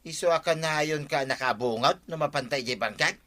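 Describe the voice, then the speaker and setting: Bright, sharp-voiced excitable husband, higher-pitched. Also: male voice, fairly quick. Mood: curious, coaxing. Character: excitable husband eager to buy a tricycle. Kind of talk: regional radio comedy drama acting.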